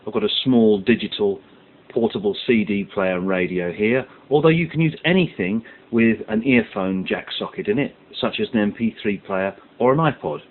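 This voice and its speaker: A man's voice: lowish voice, normal speed. A man presenting a hands-on science tutorial, explaining each step methodically and stressing the key words. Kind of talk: step-by-step instructional demonstration. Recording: muffled lo-fi audio with background hiss.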